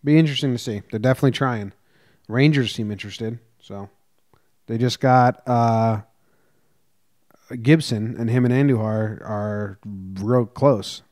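A man's voice: mid-range voice, a little slow, a little mellow. A young man co-hosting a podcast, giving short, blunt, skeptical replies in casual sports chat, speaking into a large mic at home. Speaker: podcast co-host. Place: home room, indoors. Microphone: large studio mic close up.

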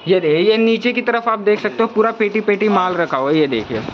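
A man's voice: high-pitched, lively intonation, brisk, muffled recording, noisy background. A man hosting a walking tour of a crowded wholesale toy shop, asking the seller for prices and repeating each one back to his viewers. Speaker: market video host.

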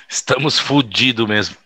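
Man playing male political aide, mid-pitched, wry, amused, casual, audio drama. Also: sharp tone, a little hiss or hum. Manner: casual, chuckling, ironic.

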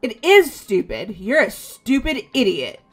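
A woman as a high, animated, hot-headed teenage girl. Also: unhurried, husky, heavily acted. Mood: angry. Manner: emotional, impulsive. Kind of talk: amateur video game voice-over. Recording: background music throughout.

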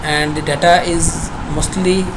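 A young man with a Pakistani accent giving a halting software demonstration in non-native English phrasing. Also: on the high side. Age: young adult. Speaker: student presenter. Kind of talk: screen-recorded software demonstration. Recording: noisy home screencast, steady background hum. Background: constant clear background noise.

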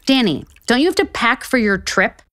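A woman's voice: on the high side, normal speed, slight background noise. An actress playing a sarcastic, easily exasperated woman author in a romantic comedy audio drama. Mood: sarcastic, flustered. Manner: sarcastic, excitable.

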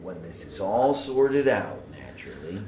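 An actor playing a menacing male leader in an audio drama, measured, theatrical and falsely reassuring. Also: low voice, sing-song, dark tone. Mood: condescending. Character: smooth, menacing male leader, coolly condescending. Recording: roomy echo under music.

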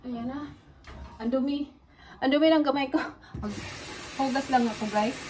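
A woman's voice: sits high, a little slow. Filipino woman vlogger, noisy kitchen recording. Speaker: vlogger, home baker. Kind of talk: casual chat while baking, Tagalog-English code-switching. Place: small home kitchen, indoors. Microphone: phone at arm's length or propped nearby.

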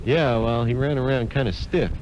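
A man's voice: mid-range voice, moderate pace, muffled recording. A man chatting casually as a talk show host on an old radio recording. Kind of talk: casual chat. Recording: very noisy archival radio recording.

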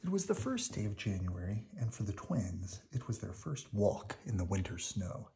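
A man narrating a children's picture book in an expressive read-aloud style. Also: lowish voice, normal speed.

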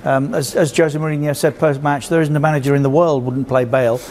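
A man's voice: fairly high pitch, steady tone, fast talker, darkish tone, a little hiss or hum. A middle-aged man presenting football in a TV studio, delivering links with dry, wry remarks. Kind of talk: sports broadcast presenting.

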